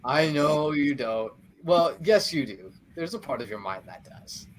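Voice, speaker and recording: A man's voice: fairly high pitch, animated, measured pace, a little hiss or hum, low-quality recording. A man acting as game master, who narrates a tabletop session and trades jokes with his players in casual banter. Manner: playful, teasing, cuts back to the game.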